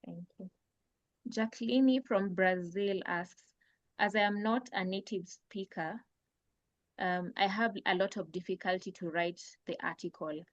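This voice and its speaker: A woman's voice: mid-range voice, measured pace, no echo. A woman moderating a webinar over a video call, reading attendees' questions aloud in a plain, careful way. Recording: dull, dry call audio.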